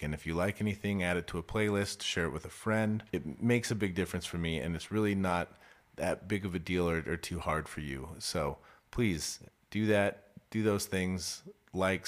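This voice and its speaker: A man hosting a podcast, talking in a relaxed, conversational way. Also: low voice. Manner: relaxed, conversational, rambling plug. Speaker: podcast host and musician. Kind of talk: casual podcast chat.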